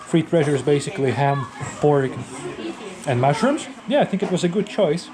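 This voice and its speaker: A man giving unscripted travel commentary as a vlogger, explaining what is around him and often rephrasing himself. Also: a little high. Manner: explanatory, repeating and rephrasing phrases. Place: mostly outdoors at a tourist site.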